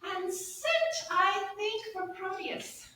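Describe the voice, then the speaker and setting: A woman playing a teasing, cheeky waiting-maid in a theatrical Shakespearean staged reading, with dry, knowing, measured asides. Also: very high-pitched, expressive, sharp tone, full character voice. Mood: sly, apologetic. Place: echoey room at a live staged reading. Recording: echoey room, distant mic, faint noise.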